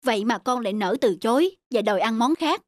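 A man playing a gentle, patient father who explains things to his small son in a cartoon. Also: very high voice, expressive, fast, no echo, clean studio sound. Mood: patient, earnest. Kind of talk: cartoon dialogue.

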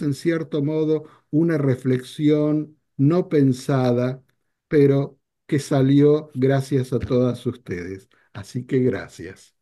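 An older man hosting a talk show over a video call, speaking in a measured, reflective way. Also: mid-range voice, warm tone. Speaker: radio show host. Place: home office.

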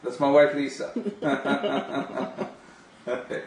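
A man's voice: medium pitch, flat delivery, drawn-out words. A middle-aged man chatting casually and gushing with enthusiasm as he demonstrates a product and talks on a call. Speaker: video phone sales representative. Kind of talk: casual demonstration and phone chat. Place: small home office, indoors. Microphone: camera a few steps away. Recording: roomy, distant camera mic with hiss.

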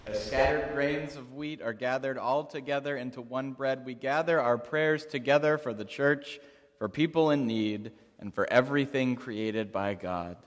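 Man, worship leader, measured prayer voice, long church reverb. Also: medium pitch. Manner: measured liturgical recitation. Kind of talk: leading liturgy and prayers. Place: large church sanctuary.

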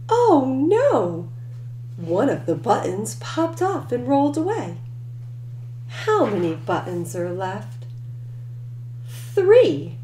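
A woman with a high voice reads a children's picture book very slowly and with deliberate emphasis. Her tone is soft and mellow, and the recording has a roomy echo. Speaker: children's read-aloud storyteller. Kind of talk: expressive picture-book reading for young children. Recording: roomy echo, faint background noise.

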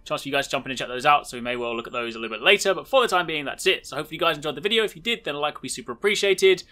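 A man's voice: a little high, lively intonation, rapid-fire, sharp tone. A man hosting a gaming video, giving chatty, informal, enthusiastic showcase commentary.

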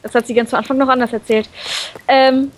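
A woman reading a visual novel aloud in an animated, playful way, breaking off into her own comments. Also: sits high, a little fast. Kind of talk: expressive let's play read-aloud.

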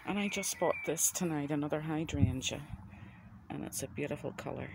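A man with a Northern Irish accent chats gently and casually as he narrates an unscripted garden tour on a handheld phone outdoors. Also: on the high side, moderate pace, vocal fry, noisy background. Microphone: handheld phone camera.

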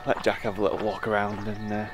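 A man's voice: lowish voice, fast talker, over music. A man, chatting casually to a handheld camera from a campervan and the outdoors. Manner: relaxed, chatty, with frequent "uh" and "um". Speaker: vlogger. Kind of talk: casual travel vlog to camera. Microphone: handheld camera at arm's length.

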